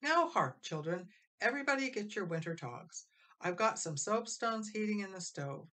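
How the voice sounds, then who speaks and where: A woman's voice: mid-range voice, animated, normal speed, fairly bright tone, voice pushed up, natural voice. A woman voicing a hearty, bustling farm mother in a storybook, reassuring and folksy in her old-fashioned country phrasing. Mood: brisk, rallying.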